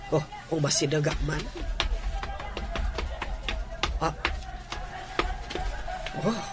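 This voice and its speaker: An actor with an Ilocano accent playing an anxious, excitable parent in a radio drama, exclaiming over a child's contest. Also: medium pitch. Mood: anxious watching. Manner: excitable, exclaiming running commentary.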